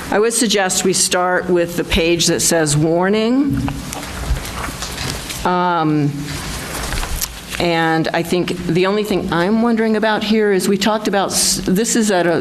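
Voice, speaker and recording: Hesitant chair at a meeting. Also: female voice, fairly low pitch, a little hiss or hum, poor audio. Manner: hesitant, many fillers. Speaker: select board chair. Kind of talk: public meeting discussion.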